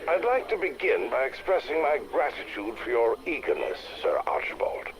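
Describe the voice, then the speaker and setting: An actor playing an imperious, scheming wealthy patron with a husky voice, formal and commanding, in a game audio log. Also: male voice, mid-range voice, big pitch swings, moderate pace, lo-fi sound, a crowd in the background. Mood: courteous, commanding.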